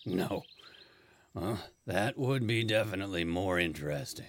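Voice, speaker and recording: An actor playing a dying man in hospice in an audio play, wry and resigned, with a halting delivery. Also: sits low, animated, a bit bright, full character voice.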